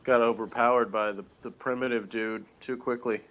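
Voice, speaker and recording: A man's voice: mid-range voice, fairly even intonation, normal speed, fairly bright tone. A man giving his opinions in a casual review chat, informal and hesitant, often interrupting himself, on a lo-fi recording. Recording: dull, lo-fi voice recording.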